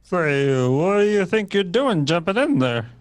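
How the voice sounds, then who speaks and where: A woman playing a gruff but deferential carriage coachman in a radio play. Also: lowish voice, big pitch swings, unhurried, darkish tone. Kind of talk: old-fashioned radio play acting.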